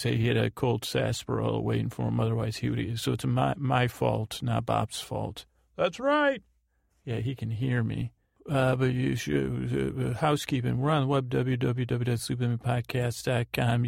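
A man hosting a sleep podcast, rambling through housekeeping in a halting way and often correcting himself. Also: medium pitch, gravelly, darkish tone, no echo, studio quality. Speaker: podcast host.

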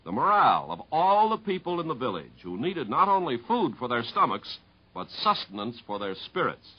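A man's voice: medium pitch, sing-song, normal speed, husky. An American man working as a 1950s radio announcer, reading scripted narration. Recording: muffled vintage radio recording, faint music.

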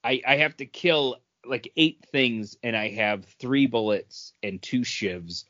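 A man co-hosting a podcast, chatting casually about pop culture as he explains his opinions with halting self-corrections. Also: medium pitch, sharp tone, dry recording, lo-fi sound. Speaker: podcast co-host.

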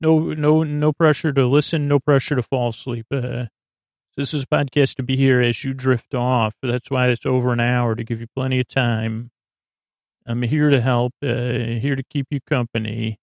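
A man's voice: mid-range voice, steady tone, normal speed, creaky, darkish tone, dry recording. A man hosting a bedtime podcast in a goofy, meandering monologue, stammering and often losing his thread. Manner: goofy, silly. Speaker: podcast host.

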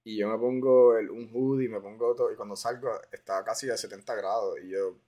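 Low-pitched Puerto Rican man hosting. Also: steady tone, brisk, dark tone. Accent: Puerto Rican Spanish. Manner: informal, mixes English words into Spanish. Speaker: podcast host. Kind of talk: casual podcast interview.

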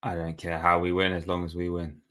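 A man's voice: low-pitched, completely flat delivery, a little fast, a bit bright, clear, resonant tone. A man co-hosting a football podcast, chatting casually and rambling with fillers and restarts. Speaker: podcast co-host.

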